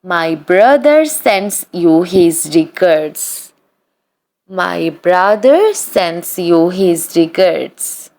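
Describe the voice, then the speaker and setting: A woman reading short practice sentences aloud for learners, in an even, deliberate read-aloud style that says each sentence twice. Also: lowish voice. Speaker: English practice reader.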